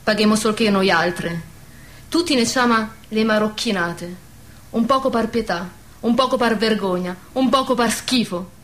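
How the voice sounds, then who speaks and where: A motherly village woman played by an actress in a radio play, sorrowful yet steadfast. Her medium-pitched voice is sombre and stern, in a southern Lazio dialect. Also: a little slow, a bit bright, lightly acted. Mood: sorrowful, bitter. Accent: southern Lazio Italian dialect. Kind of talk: radio drama acting.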